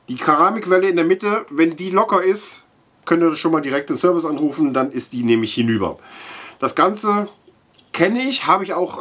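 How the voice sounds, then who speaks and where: A middle-aged man with a husky voice, giving a casual, halting product demonstration as he handles the parts. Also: fairly high pitch. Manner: halting, thinking aloud while handling parts. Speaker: aquarium product reviewer. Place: indoor room with large aquarium.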